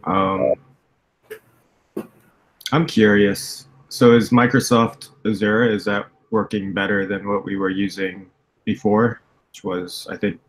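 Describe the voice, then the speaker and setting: A man's voice: on the low side, fairly even intonation, slow, poor audio. A man in a casual remote meeting, asking questions in an informal, inquisitive way. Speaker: team member asking questions.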